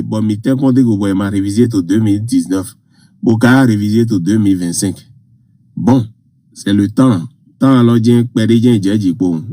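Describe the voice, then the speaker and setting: A man phoning in to a live show, hoarse from a cold, speaking as a Goun speaker, rambling and halting with many fillers. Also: on the low side, dark tone, no echo, clean studio sound. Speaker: caller.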